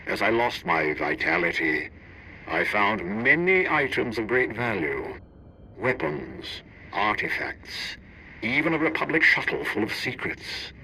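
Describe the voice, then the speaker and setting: An actor playing a proud, embittered admiral in a video game, giving a formal, oratorical address heard as a recorded transmission. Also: male voice, medium pitch, very animated, unhurried, gravelly, pushed and bright, a little hiss or hum, exaggerated voice, poor audio. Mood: grandiose, solemn. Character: proud, grandiose male admiral, embittered war survivor.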